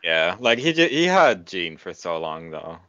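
A man from the Netherlands chatting casually and haltingly, on a slightly dull recording. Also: low-pitched, very animated, fairly bright tone, no room sound. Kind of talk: casual chat.

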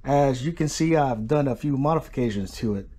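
A man's voice: medium pitch, animated, a little fast, mellow, breathy edge. A man hosting a home workshop channel, narrating a casual hands-on tutorial. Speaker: host of a DIY machining channel.

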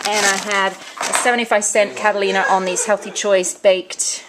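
A woman's voice: medium pitch, level tone, moderate pace. A woman in a casual vlog chatting through her shopping haul at home, listing items, coupons and prices. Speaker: vlogger showing a shopping haul. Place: home, indoors. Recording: noisy home video with bag crinkling. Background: crinkling bags and clicks.

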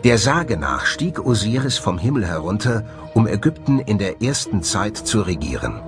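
A man presenting scripted documentary narration in an expressive, dramatic manner. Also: lowish voice, moderate pace. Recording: music under the voice. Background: music.

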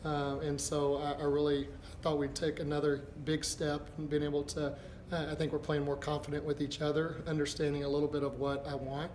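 A middle-aged man, a basketball head coach, answering postgame press questions in a halting, thinking-aloud way. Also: on the high side, level tone, darkish tone. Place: indoors before a backdrop, a step from camera. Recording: echoey room with background noise.

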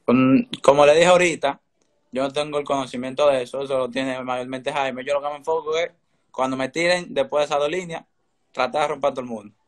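A man's voice: medium pitch, steady tone, a little fast. A teenage young man with a Dominican accent, giving casual answers as an interview guest on a video call. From Dominican Republic. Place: indoor room, remote video call. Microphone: video call, phone or laptop close in front.

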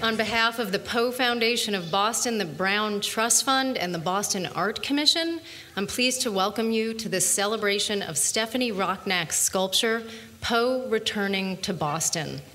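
Bright woman speaking over echoing PA. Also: medium pitch, moderate pace. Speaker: arts reporter acting as event host. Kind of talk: welcome speech at a ceremony. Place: outdoor public ceremony. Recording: reverberant, noisy live outdoor PA.

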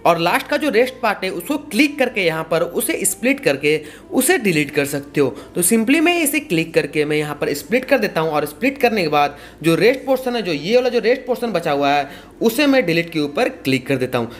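High, animated young man explaining. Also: brisk. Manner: repetitive, explanatory. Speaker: tutorial host. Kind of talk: casual step-by-step tutorial narration.

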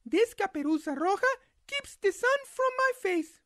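Man doing a little girl, very high voice, polite, wary, sing-song, storybook character. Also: very animated, normal speed, pushed and bright, no echo, clean studio sound, exaggerated voice.

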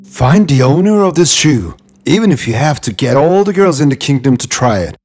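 A woman putting on a lowered voice for a young prince and his soldiers in a cartoon fairy tale. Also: sing-song, moderate pace. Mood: commanding. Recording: narration over background music.

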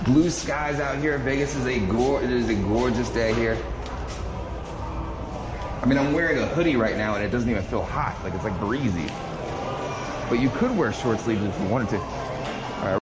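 An upbeat young man chats to his live-stream viewers as he walks along, his voice a bit worn and hoarse from the trip. He sits at a middling pitch, picked up by a backpack-mounted camera, with music playing clearly in the background. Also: moderate pace, pauses often, low-quality recording. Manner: upbeat, greets chat viewers by name, reads comments aloud. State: voice getting worn out. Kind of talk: casual live-stream chat while walking. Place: outdoors on a city street, then inside a large casino. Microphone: body-worn camera mounted on a backpack.

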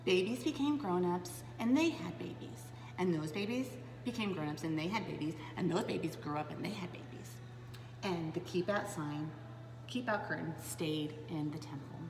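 A woman in her thirties reading a children's Bible story aloud to preschoolers in an animated, dramatic way. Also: lowish voice, normal speed. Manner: animated and dramatic, with gasps, hushed asides and questions to young listeners. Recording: roomy, echoing space with clear background noise.

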